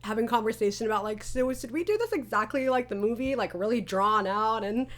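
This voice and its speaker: A woman chatting casually as an interview host and creator, telling stories in an animated way with quoted asides. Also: a little high, fairly quick.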